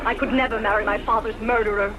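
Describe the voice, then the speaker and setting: A woman's voice: mid-range voice, fairly even intonation, fast, close to an everyday voice. A woman playing a defiant young noblewoman in a dubbed costume melodrama, speaking in an indignant, declamatory way. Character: defiant young noblewoman accusing her father's murderer. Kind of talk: dubbed sword-and-sandal film melodrama. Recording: noisy fan-dub over old film soundtrack.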